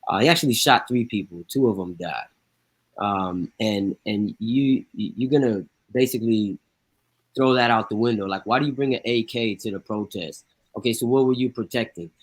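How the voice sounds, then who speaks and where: A man's voice: on the low side, normal speed, no room sound, rough recording. Guy on a podcast making his case, lots of rhetorical questions, dry close sound. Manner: argumentative, rhetorical questions, casual slang. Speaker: podcast co-host. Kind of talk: casual podcast discussion.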